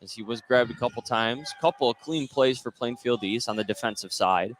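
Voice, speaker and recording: A man's voice: medium pitch, fairly quick, a little hiss or hum. A man doing live play-by-play radio commentary of a basketball game. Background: indoor basketball game, bouncing ball.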